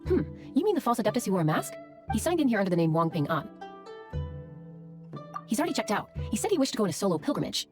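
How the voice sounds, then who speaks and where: A courteous, businesslike female innkeeper from a video game played by an actress. She talks fast and matter-of-factly in a medium-pitched, lively voice, with music clearly behind her. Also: natural voice. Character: polite, businesslike inn boss relaying messages.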